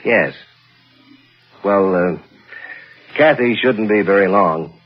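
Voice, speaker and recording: A man's voice: fairly low pitch, takes it slowly. An actor playing a stern, protective middle-aged American father in a 1960s radio drama, speaking and narrating in dry, formal words. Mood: stiff, awkward. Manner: dry, wry, formal wording. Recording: dull old radio recording, music behind.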